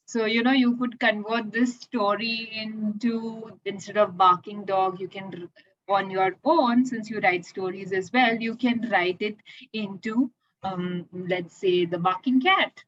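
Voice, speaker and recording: A woman's voice: medium pitch, takes it slowly, a bit bright, a little hiss or hum. Woman hosting kids reading thing, takes her time, encouraging, asks questions, muffled video call audio. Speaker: host of a children's read-aloud session. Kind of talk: casual conversational chat with a child. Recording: dull lo-fi online call audio.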